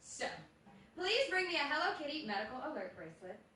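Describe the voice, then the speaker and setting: A woman plays a prim little girl of seven with an old lady's ways. The voice is high and bright, heard from far off in a very echoey theatre. It is live stage radio comedy. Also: very animated, brisk, full character voice. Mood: prim, earnest. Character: prim seven-year-old girl who acts like an old lady.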